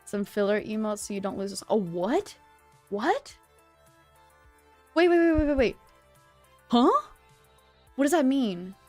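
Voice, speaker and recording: A woman's voice: fairly high pitch, animated, unhurried, pauses often, darkish tone, faint music behind. A woman livestreamer chatting casually with her viewers in a bubbly, chatty manner. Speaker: livestreamer, virtual streamer host.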